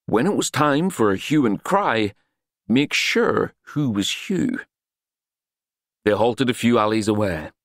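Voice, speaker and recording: A man's voice: medium pitch, expressive, unhurried, no room sound, clean studio sound. A man reading scripted fiction as an audiobook narrator, in a dramatic storytelling style.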